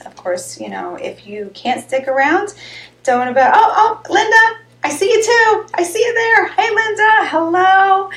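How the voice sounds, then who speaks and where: A woman hosting a live health show, chatting casually and rambling a little, with a slightly hoarse, raspy voice. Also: medium pitch, fairly bright tone, a little hiss or hum. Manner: chatty, rambling, greets viewers by name. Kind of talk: casual live-stream chat. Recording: echoey room.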